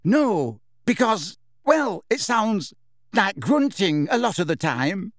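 A man's voice: high-pitched, very animated, slow pace, no room sound, clean studio sound, voice pushed up, heavily acted. A man voicing a mean teenage schoolgirl suppressing giggles. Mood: mocking giggles.

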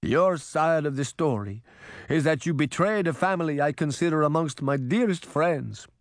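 A man playing a stern, indignant old father in a storybook, scolding forcefully. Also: fairly high pitch, expressive, moderate pace, no echo, clean studio sound, voice pushed up. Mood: outraged.

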